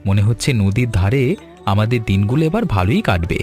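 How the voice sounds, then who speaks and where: A man plays an eager young village vendor in a cartoon tale. He talks in an earnest, chatty, polite way with a fairly low pitch. Light music sits behind him. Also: big pitch swings, moderate pace, a little mellow. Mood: eager, friendly. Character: eager young fish-fry seller, friendly village man. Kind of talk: animated story dialogue.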